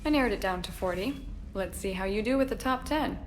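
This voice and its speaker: A woman playing a brisk war reporter in a video game, putting pointed, professional questions. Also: mid-range voice, expressive, slight background noise, lightly acted. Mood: probing.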